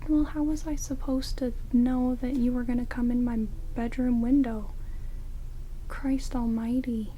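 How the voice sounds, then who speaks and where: A woman playing a sardonic, grumbling vampire in a soft, half-whispered ASMR roleplay, muttering dryly close to the microphone. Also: on the high side, level tone, unhurried, slight background noise, close to an everyday voice, low-quality recording. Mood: grumbling, dismissive. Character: sardonic, grumbling female vampire grudgingly tending an intruder. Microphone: close binaural microphones. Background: heavy rain.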